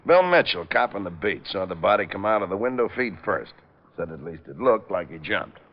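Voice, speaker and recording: An actor playing a brisk, gruff police lieutenant in an old radio drama, terse and official in manner. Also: male voice, deep voice, very animated. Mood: matter-of-fact. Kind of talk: 1940s-50s radio detective acting. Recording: noisy, muffled old-time radio recording.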